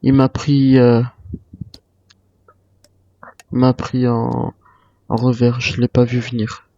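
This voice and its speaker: Man, mellow voice, wary survivor role-play, video game, muffled roomy recording. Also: mid-range voice, little pitch movement, measured pace, gravelly. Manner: speaks in character as a wary survivor. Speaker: player role-playing a zombie apocalypse survivor. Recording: muffled lo-fi mic, roomy echo.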